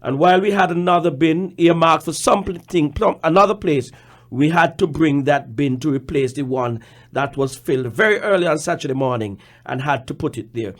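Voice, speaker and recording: Male radio guest, Caribbean accent, slightly high pitch, managerial explainer, deliberate with repeated emphasis.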